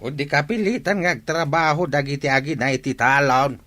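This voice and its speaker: A man's voice: a little high, brisk, sharp tone. A man with an Ilocano Filipino accent playing a blunt, grumbling village husband in a radio drama. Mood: disapproving.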